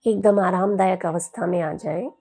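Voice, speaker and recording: A woman leading a guided meditation as a yoga teacher, speaking in a soothing, gentle, unhurried way with long pauses. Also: lowish voice, faint music behind.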